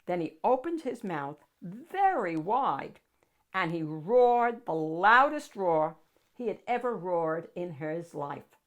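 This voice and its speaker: A woman narrating a children's picture book aloud with dramatic, expressive storytelling. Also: on the low side, slow pace.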